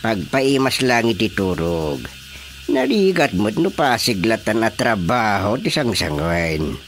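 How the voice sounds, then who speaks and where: A man with an Ilocano Filipino accent playing a plaintive, excitable, apologetic husband and father in a radio drama comedy. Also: on the low side, unhurried, faint music behind. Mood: evasive, weary. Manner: expressive, swooping delivery.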